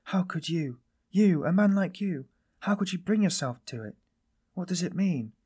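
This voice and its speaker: A man voicing an anguished young woman, pitched high. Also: animated, normal speed, darkish tone, close to an everyday voice. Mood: horrified bewilderment. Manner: anguished, naive, exclamatory. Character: distraught, timid young woman, pleading and bewildered. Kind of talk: dramatised audiobook dialogue.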